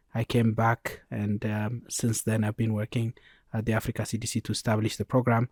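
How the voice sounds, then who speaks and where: A man with an Ethiopian accent, explaining as an expert guest in a podcast interview in a measured way. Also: lowish voice, darkish tone, no room sound, clean studio sound. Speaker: genomics programme lead, interview guest.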